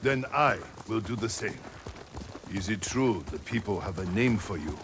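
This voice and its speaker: A proud, formal samurai lord in a video game drama. His courtly, assertive voice is gravelly and highly varied in pitch. Clear background noise surrounds it. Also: expressive, normal speed.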